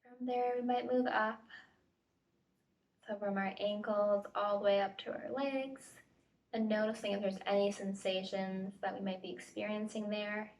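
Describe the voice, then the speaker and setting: A woman facilitator leading a guided body scan meditation with gentle, tentative invitations and pauses, recorded at home. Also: mid-range voice, steady tone. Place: at home, indoors. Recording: home recording, distant mic.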